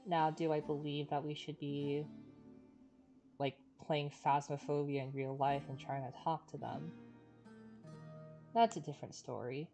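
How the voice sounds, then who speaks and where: A woman streaming a game, chatting casually in a rambling, halting, reflective way. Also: deep voice, fairly even intonation. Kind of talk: casual livestream chat. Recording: stream voice over game music.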